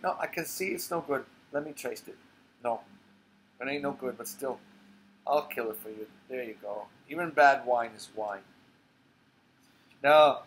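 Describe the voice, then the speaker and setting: A man's voice: medium pitch, fairly bright tone, close to an everyday voice, rough recording. A man reading a stage play, voicing a tired, streetwise old bar regular in short, blunt, profane phrases. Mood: grumbling. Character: tired, profane, streetwise old male barfly rambling to strangers. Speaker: playwright reading his own play. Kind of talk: dramatic monologue reading.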